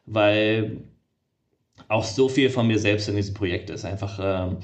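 A man's voice: on the low side, measured pace. A middle-aged man, a game developer being interviewed, talking casually and hesitantly with many fillers and restarts.

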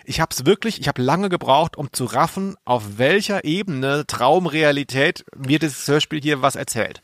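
A man's voice: on the high side, lively intonation, normal speed, fairly bright tone, no echo, clean studio sound. A man co-hosting a podcast, chatting casually in a bemused, emphatic, colloquial way. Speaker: podcast co-host. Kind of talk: casual review chat.

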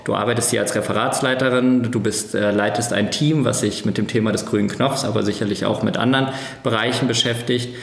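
A man's voice: lowish voice, fairly even intonation, a little fast, gravelly, few pauses, reverberant. A man hosting an interview, asking long, winding questions full of fillers. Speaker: podcast host.

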